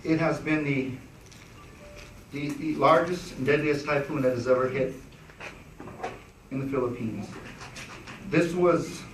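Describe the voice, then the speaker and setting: A man's voice: on the high side, a little slow, long pauses, slight background noise. Man giving formal remarks, echoey and distant. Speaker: press conference host. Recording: echoey room, distant mic.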